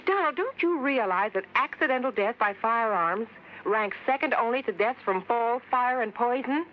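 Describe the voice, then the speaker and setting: A woman's voice: on the high side, expressive, normal speed, natural voice. A woman playing an exasperated, fussing wife in a 1950s radio sketch, scolding and instructing with emphasis. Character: exasperated, fussing wife and mother of young children. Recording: dull, faintly noisy 1950s radio recording.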